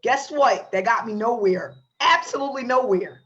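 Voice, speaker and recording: A woman hosts a motivational online webinar, telling her own story with animated energy. Her voice sits a little high. The sound is dull and lo-fi, like audio from an online call. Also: moderate pace. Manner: animated storytelling, acts out quotes. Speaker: webinar host.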